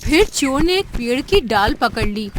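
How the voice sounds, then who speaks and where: A woman narrating a children's cartoon story in a deliberate storytelling manner. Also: on the high side, big pitch swings, background noise. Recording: narration over loud music.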